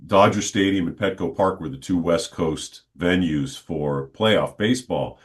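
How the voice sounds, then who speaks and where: A middle-aged man, a stadium announcer, telling stories in a relaxed, good-humored interview. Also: sits low, a little slow, breathy edge. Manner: good-humored, reflective, talks at length. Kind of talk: casual storytelling in an interview.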